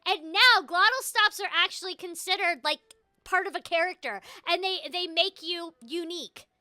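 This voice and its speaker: Bright high-pitched chatty woman. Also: normal speed, no room sound. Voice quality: has a glottal stop. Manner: chatty, animated, frequent restarts and laughs. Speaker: voice actor, streamer host. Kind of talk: casual stream chat. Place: home streaming room.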